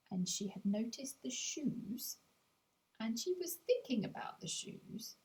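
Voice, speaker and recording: A woman reading her own children's audiobook in a measured, gently wry storytelling voice that speaks directly to the reader. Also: mid-range voice, poor audio. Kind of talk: scripted audiobook narration.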